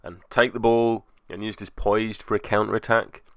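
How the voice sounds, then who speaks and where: A man's voice: on the low side, little pitch movement, normal speed, dry recording, clean studio sound. A man giving wry, self-deprecating voice-over commentary on a tabletop game, with joking asides, as its host.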